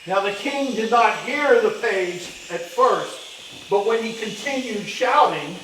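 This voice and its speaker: A man's voice: high voice, lively intonation, slow pace, fairly bright tone, full character voice. A man playing a storyteller, giving dramatic, scripted storybook narration on stage. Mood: suspenseful. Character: male storyteller narrating an old winter tale. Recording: echoey hall, far mic, faint noise.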